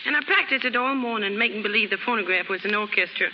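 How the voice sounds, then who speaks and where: A woman's voice: mid-range voice, fast, natural voice. A brash, lovelorn young American chorus girl played by a woman in a 1930s radio play. She talks in slangy, unschooled phrases. The old broadcast is hissy and muffled, with long reverb. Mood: wistful. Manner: slangy, ungrammatical.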